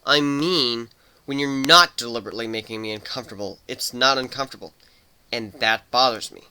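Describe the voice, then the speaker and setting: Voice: mid-range voice, a little fast, sharp tone, clear, resonant tone. A performer voicing a nervous, earnest male pegasus pony who stammers and hesitates in a dramatic story reading. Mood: accusing, uneasy. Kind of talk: dramatic fan fiction reading.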